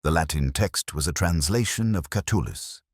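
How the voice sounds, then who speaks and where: A man performs a melancholy, watchful police inspector narrating a detective audio drama. His voice is extremely low, with measured, literary phrasing and a brooding manner. The sound is dry, with hardly any room to it. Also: expressive, husky, no echo, full character voice. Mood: brooding, reflective. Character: melancholy, observant male police inspector recounting a case.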